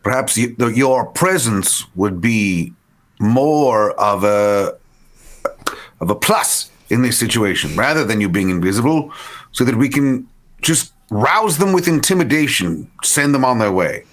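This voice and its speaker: Man doing a swooping, pompous gentleman vampire. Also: medium pitch, animated, measured pace. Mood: courtly, resolute. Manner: mannered, pompous, old-fashioned wording. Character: genteel, formal gentleman vampire, pompous and polite. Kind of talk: in-character tabletop roleplay.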